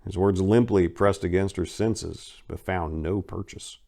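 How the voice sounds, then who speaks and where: A man giving an intense, expressive dramatic reading of a scripted crime story as its narrator. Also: low voice, unhurried, gravelly.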